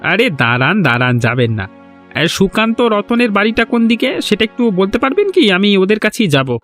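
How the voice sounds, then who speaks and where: A man voicing a bold visitor to a village in a cartoon folk tale. He has an unusually high voice for a man and talks with cheeky confidence, over faint music. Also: very animated, normal speed, a bit bright. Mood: friendly, coaxing. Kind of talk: Bengali animated folk-tale dialogue.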